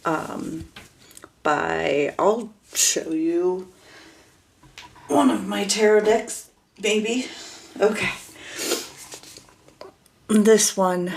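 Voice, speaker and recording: A woman with a low, slow voice speaks in a steady monotone that rarely rises or falls. She hesitates often, with fillers and restarts, as she casually introduces herself to her viewers. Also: creaky. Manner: monotone, flat delivery.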